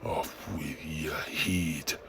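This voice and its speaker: A very slow, low-pitched tyrant king, acted by a man, growling threats with a breathy rasp. He sounds bloodthirsty and theatrical, a villain of fantasy storytelling. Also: steady tone, heavy background noise, exaggerated voice. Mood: cold threat. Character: menacing, bloodthirsty warrior king, taunting his foes.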